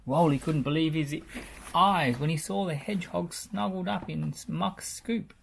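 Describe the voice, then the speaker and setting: A man reading a children's storybook aloud in an expressive narrating style. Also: on the high side, a little slow, creaky, a little hiss or hum. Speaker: storybook reader.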